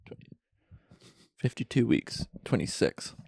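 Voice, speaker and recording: A man's voice: measured pace, no room sound, studio quality. A young man co-hosting a podcast from a friend's basement, in rambling casual chat. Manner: rambling, animated storyteller. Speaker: podcast co-host.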